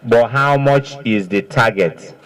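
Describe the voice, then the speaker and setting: A man's voice: medium pitch, takes it slowly, low-quality recording. A man with a Nigerian accent questioning suspects formally as an official, probing and repeating answers back.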